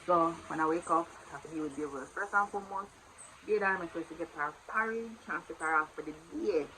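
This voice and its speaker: A woman's voice: deep voice, fast, a little hiss or hum, low-quality recording. A young woman in her twenties with a Jamaican accent, talking casually to her viewers in a home vlog. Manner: chatty and warm with her viewers, swings loud when playing with her baby. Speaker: vlogger. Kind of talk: casual vlog talk to camera. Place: home in the morning, indoors.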